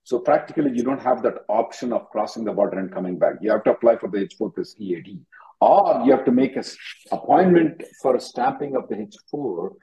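A man's voice: medium pitch, animated, brisk, warm tone, dull audio. A man hosting an advisory call-in as an attorney, directive and given to repeating himself. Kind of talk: advisory call-in question and answer.

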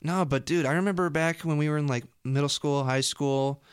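A man's voice: fairly high pitch, a little fast, smooth, dry recording, clean studio sound. A young man hosting a casual radio chat in an upbeat, effusive way. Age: young adult. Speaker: college radio show host. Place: radio studio. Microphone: close studio microphone.

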